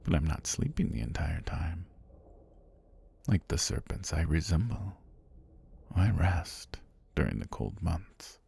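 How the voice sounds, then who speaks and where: Casting: male actor as ancient giant snake deity for fantasy ASMR; very low, gravelly, unhurried, sinister and faintly amused. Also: sing-song, exaggerated voice. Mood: softly menacing. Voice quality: husky, breathy, gravelly. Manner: slow, deliberate, formal, softly menacing.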